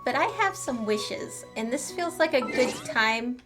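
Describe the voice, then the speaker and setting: A woman's voice: a little high, lively intonation, measured pace. A woman gaming streamer giving casual, playful, chatty commentary as she reacts to a playthrough. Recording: game music behind the voice.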